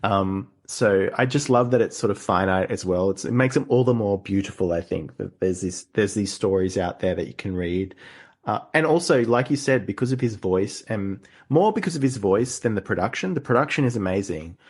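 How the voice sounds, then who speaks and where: A man's voice: fairly low pitch, expressive, normal speed, husky. A man co-hosting a podcast in casual discussion, earnest and emphatic, repeating phrases for stress. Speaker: podcast co-host.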